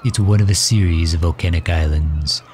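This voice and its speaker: A man playing a courtly, overprotective villain who fusses gently and formally in an ASMR roleplay drama. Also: deep voice, moderate pace, a little hiss or hum, lightly acted. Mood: proud, expansive. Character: doting, overprotective male villain caring for injured hero.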